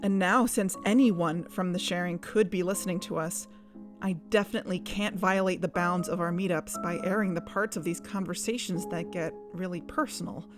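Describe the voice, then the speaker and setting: An actress playing an earnest, uneasy young woman investigator, narrating her own story in a measured, confessional way for an audio drama. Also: lowish voice, steady tone, over music, close to an everyday voice. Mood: reflective, guarded. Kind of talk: scripted first-person audio drama narration.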